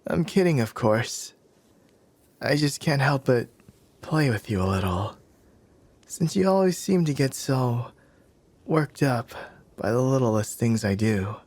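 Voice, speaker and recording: Casting: male actor for smug, playful young fighter, mid-pitched, unhurried, teasing the listener in ASMR roleplay. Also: expressive, a little mellow. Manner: teasing, smug, breathy sighs and chuckles.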